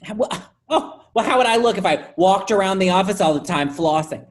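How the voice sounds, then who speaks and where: A woman's voice: mid-range voice, lively intonation, fairly quick, natural voice. A woman in a staged play reading, playing an oversharing, oblivious, squeamish office worker who rambles matter-of-factly. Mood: fussy, rambling.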